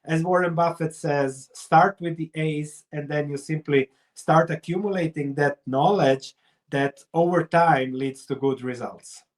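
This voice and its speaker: A middle-aged man from Croatia speaks with a Croatian accent in a somewhat high voice. He sounds like an interview guest, laying out his reasoning step by step with examples. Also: a little slow. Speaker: investor and researcher, interview guest. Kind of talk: explanatory interview talk.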